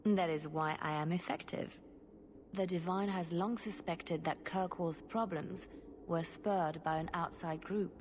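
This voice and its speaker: A woman playing a composed, grave secret agent in a fantasy video game, speaking in a measured, deliberate way. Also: lowish voice, steady tone, darkish tone, slight background noise, lightly acted.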